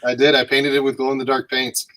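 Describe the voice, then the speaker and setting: A man's voice: mid-range voice, monotone, quick pace, sharp tone, dry recording. A man from St. Louis, a guest artist chatting in an easygoing, modest way. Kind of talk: casual interview chat.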